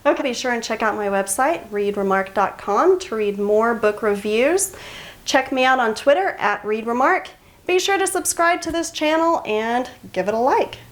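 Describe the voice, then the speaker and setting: A woman's voice: fairly high pitch, animated, moderate pace. A woman hosting a book review channel, talking in a chatty, enthusiastic and emphatic way straight to her viewers. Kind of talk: casual scripted book recommendation to camera.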